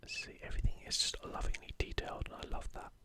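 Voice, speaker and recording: A man's voice: very fast, bright, forceful tone. Man whispering ASMR commentary. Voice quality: breathy, unvoiced whisper. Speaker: ASMR video host.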